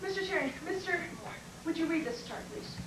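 A woman playing a brisk, businesslike medical examiner in an amateur stage play, asking clipped, deadpan questions. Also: very high voice. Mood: firm, impatient. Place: classroom stage before small audience, far camera. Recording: distant, echoey, very noisy camcorder in classroom.

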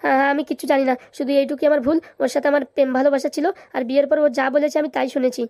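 A woman acts out a cowardly grown son in a comic cartoon, in a high, dry voice. He rattles along extremely fast, stammering and pleading. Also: flat delivery, darkish tone, no room sound. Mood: frightened, pleading.

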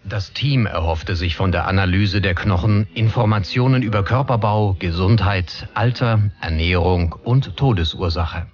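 A man narrating a documentary from a script in a measured, serious manner. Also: sits low, husky, muffled recording. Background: background music.

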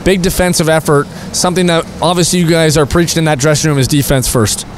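A man's voice: on the high side, fairly even intonation, fast, a little hiss or hum. A young man hosting a postgame interview beside an indoor hockey rink, holding a handheld mic. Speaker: team broadcaster interviewer. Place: indoor hockey arena rinkside.

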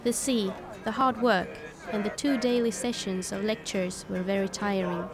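A woman giving calm, scripted narration for a documentary. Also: medium pitch, moderate pace, loud music behind the voice.

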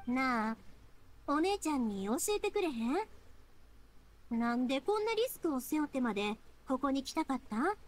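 A woman's voice: high voice, very animated, a little slow. A woman voicing a kind young big-sister type in an anime game, friendly and reassuring, with a Kansai accent.

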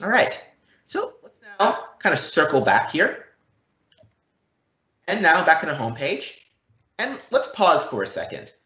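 Animated man presenting, dull roomy audio. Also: fairly high pitch, a little slow, long pauses. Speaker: presenter of a software demo. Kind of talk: live product demo presentation, conversational.

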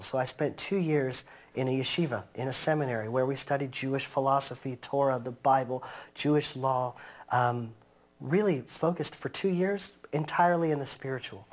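A man in his thirties, raised in the United States, speaking earnestly in a sit-down interview on a living-room couch and slowing down to stress his points. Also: medium pitch.

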